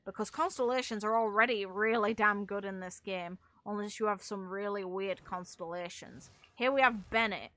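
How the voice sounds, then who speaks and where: A woman's voice: mid-range voice, normal speed, hardly any pauses, fairly bright tone. A woman doing casual gaming commentary as a streamer, rambling and opinionated with some casual swearing. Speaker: game streamer.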